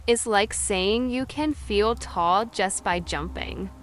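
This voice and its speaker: A woman's voice: mid-range voice, takes it slowly. A woman making casual banter as co-commentator on a video game stream. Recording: faint background noise.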